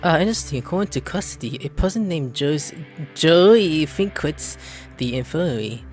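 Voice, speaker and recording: A man's voice: on the high side, animated, normal speed, darkish tone, soft background music. A man doing casual let's play commentary, reading game dialogue choices aloud and muttering asides. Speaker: gameplay streamer.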